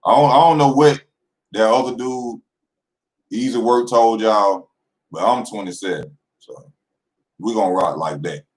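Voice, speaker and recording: A man's voice: fairly low pitch, measured pace, pauses often. A man in his twenties hosting a casual vlog monologue, talking loosely and slangily straight to his viewers. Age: 20s. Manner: loose, rambling and slangy, addressing viewers directly. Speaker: boxing channel host. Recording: echoey room.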